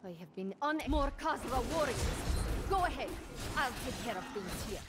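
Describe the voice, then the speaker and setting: Casting: woman for earnest female game monk, formal speech, slightly high voice, clear music underneath. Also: expressive, normal speed, natural voice. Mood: urgent. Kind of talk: dramatic scripted video game dialogue. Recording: loud game music behind the voice.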